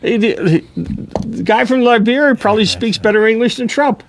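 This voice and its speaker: A man co-hosting a local political talk radio show, chatting in an animated way. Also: high-pitched, moderate pace, slight background noise.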